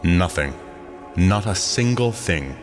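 An actor playing a stern, proud archangel warrior with a solemn, commanding manner in an audio drama. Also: male voice, deep voice, expressive, slow, over music. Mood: weary.

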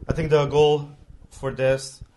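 A man's voice: a little high, flat delivery, slow pace, background noise. A man hosting a podcast, who asks his questions in a rambling, informal way with lots of fillers and restarts. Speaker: podcast host. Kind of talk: casual conversational interview.

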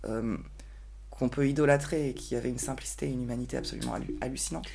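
A woman's voice: extremely low pitch, fairly even intonation, quick pace, creaky, background noise. A woman talking casually and personally as a podcast guest. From grew up between Bordeaux, France and New York.

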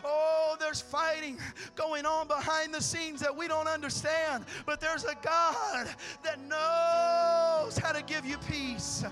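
A man preaching a sermon in a church, moving from quiet teaching to shouted, fervent prayer. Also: very high voice, level tone, slow pace, faint music behind. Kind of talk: sermon with altar call prayer. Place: church sanctuary.

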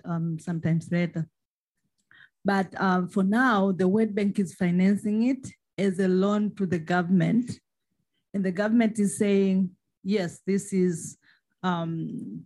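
Tanzanian lady speaking up at a seminar, voice a bit low, lots of hesitant pauses. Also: darkish tone. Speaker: social protection expert, audience member. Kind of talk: spoken question and comment at a seminar.

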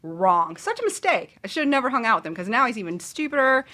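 A woman's voice: fairly high pitch, very animated, quick pace, pushed and bright. A woman talking casually and bawdily as a talk show guest, telling stories in a blunt, profane way. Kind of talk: bawdy casual chat.

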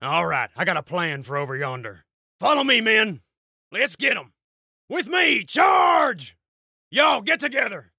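A man playing a fierce, rowdy military officer, shouting commands to rally his men. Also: sits high, very animated, measured pace, vocal fry, slightly rough, no room sound, studio quality, voice pushed up, full character voice. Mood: rousing, fierce. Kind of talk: character voice demo.

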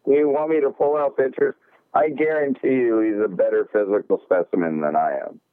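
A man's voice: mid-range voice, steady tone, a little fast, dry recording. A man in his forties, calling in to the show and trading profane, joking banter. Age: 40s. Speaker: regular co-host on a call-in. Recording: tinny phone line.

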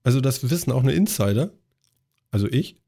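A man's voice: medium pitch, lively intonation, brisk, gravelly, dark tone. A man hosting a casual podcast chat, joking and steering back to the topics. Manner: jokey, leads the topics. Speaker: podcast host.

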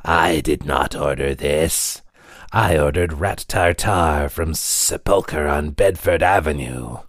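A man voicing a skeletal goth man with glowing green eyes and a rasping voice, who speaks slowly and formally. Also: rumbling low, dry recording, studio quality, exaggerated voice. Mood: cold. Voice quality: raspy.

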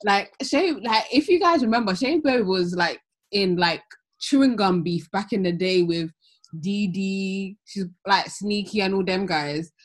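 A woman's voice: mid-range voice, a little slow. A young woman, chatting animatedly with friends on a podcast and leaning heavily on "like". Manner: animated, heavy use of "like". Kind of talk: casual chat.

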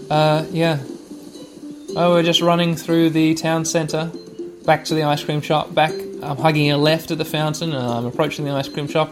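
A man hosting a podcast, giving chatty, wry running commentary as he plays a video game for listeners. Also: on the high side. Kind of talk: narrating gameplay to listeners.

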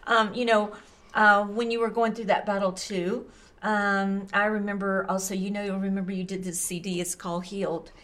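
A woman's voice: medium pitch, level tone, normal speed, fairly bright tone. A woman hosting a casual conversation about faith. She backs up her guest, laughs often and stresses her points. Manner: affirming, laughs often, quotes scripture with emphasis.

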